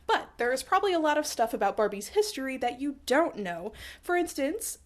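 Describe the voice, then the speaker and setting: A woman's voice: high voice, very animated, a little fast. A young woman with a stuffed-up voice from a cold, explaining history in a rambling, self-deprecating way, full of wry asides, in her small apartment. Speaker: history video host.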